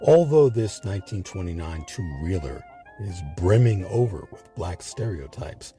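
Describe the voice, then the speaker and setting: A man hosting a history series, reading scripted documentary narration with measured, emphatic phrasing. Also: deep voice, very animated, mellow, faint music behind.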